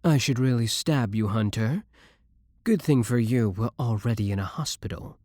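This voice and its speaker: A man's voice: medium pitch, moderate pace, darkish tone, no echo, studio quality, natural voice. A man playing a jealous, menacing young boyfriend in an audio roleplay, biting with his rival and tender with the one he adores. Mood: threatening, defensive. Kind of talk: scripted romantic audio roleplay.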